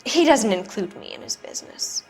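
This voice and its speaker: A flustered producer's wife, soft-spoken and guarded under questioning. Faint music plays behind her in this crime game cutscene. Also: mid-range voice, big pitch swings, normal speed, poor audio. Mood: defensive. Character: shaken, evasive wife of a movie producer. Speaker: witness questioned by police. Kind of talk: 1940s-style game cutscene acting.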